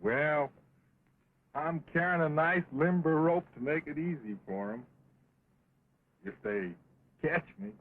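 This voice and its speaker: An actor playing a carefree, stubborn American cattle rustler in an early talkie western, with a folksy offhand drawl. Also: male voice, fairly high pitch, slow, long pauses. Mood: defiant, flippant. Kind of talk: early 1929 talkie western film acting. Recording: dull, noisy 1920s film soundtrack.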